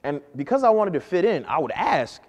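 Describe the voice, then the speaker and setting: A man's voice: a little high, big pitch swings, fairly quick, big-room sound. A man in his early twenties giving a personal storytelling speech to an audience, earnest and emphatic, rising and falling for effect. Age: early 20s. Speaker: speaker giving a talk to an audience.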